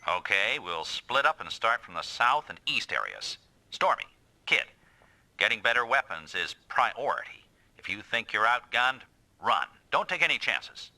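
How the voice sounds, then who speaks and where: An actor voicing a stern military squad captain in an anime-style video game, giving terse, authoritative orders. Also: male voice, medium pitch, big pitch swings, a little slow, slight background noise, full character voice. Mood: commanding. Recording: loud music under the dialogue.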